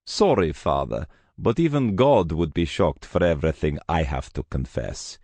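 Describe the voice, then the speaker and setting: A ruthless Russian gang leader, voiced by a male narrator, speaks with cold, clipped menace in a Russian accent. His words come out flat and threatening. The sound is dry and close. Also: a bass voice, moderate pace, no echo, full character voice. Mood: menacing.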